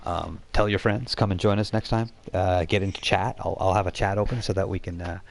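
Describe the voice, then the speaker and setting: Deep, gravelly-voiced man hosting casually. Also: steady tone, fairly quick. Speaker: game master and live stream host. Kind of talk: casual chat about a tabletop role-playing game. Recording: faint background noise.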